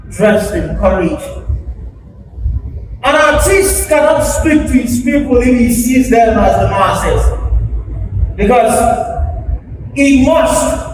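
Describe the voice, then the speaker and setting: Slow, high-voiced male spoken-word orator. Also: background noise. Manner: oratorical, deliberate, emphatic. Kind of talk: theatrical spoken-word dramatic reading. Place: live stage at an awards event. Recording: echoey hall, distant mic, music behind. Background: music.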